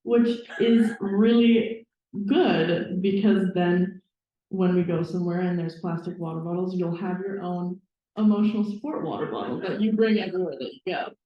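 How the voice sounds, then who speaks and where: A woman's voice: on the low side, steady tone, normal speed. A young woman from Minnesota chatting casually on a panel. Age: young adult. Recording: echoey room, dull sound.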